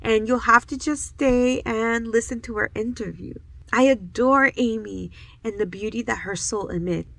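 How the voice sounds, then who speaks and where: A woman's voice: on the high side, normal speed, creaky, a bit bright, no echo. A woman from Haiti who hosts a podcast, speaking in an earnest, effusive way as she introduces the show and tells her story. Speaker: podcast host. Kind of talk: podcast intro and interview answers.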